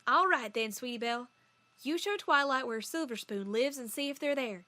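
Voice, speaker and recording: A woman's voice: high voice, normal speed, pushed and bright, no room sound. A woman voicing an easygoing, folksy country mare pony with a Southern US accent in a cartoon-style audio story. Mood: decisive. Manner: folksy, reassuring.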